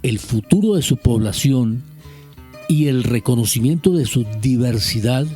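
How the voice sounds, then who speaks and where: A Colombian radio host reads an editorial aloud, steady and deliberate. His voice is warm and mellow, at a middle pitch. Soft music sits faintly under him. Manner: measured, deliberate reading. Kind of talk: reading a press editorial on air.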